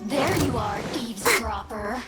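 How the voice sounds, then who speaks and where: A woman's voice: on the high side, lively intonation, a little slow. A woman voicing a composed, teasing young female fighter in a video game, playful and self-assured. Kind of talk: video game combat and idle barks. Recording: loud game music over voice.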